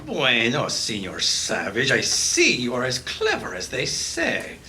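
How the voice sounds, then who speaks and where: A man's voice: medium pitch, huge pitch swings, a little slow, pushed and bright, a little hiss or hum, heavily acted. A man playing a murderous, gloating treasure-hungry villain in a live radio play. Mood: smug menace. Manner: theatrical, taunting.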